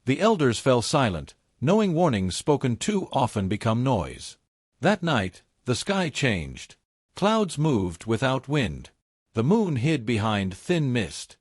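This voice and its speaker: A male storyteller delivers a scripted folktale with grave weight. His pitch moves in animated swings across short, measured phrases. The sound is dry and close, with little room around it. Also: no room sound. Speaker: storyteller, narrator.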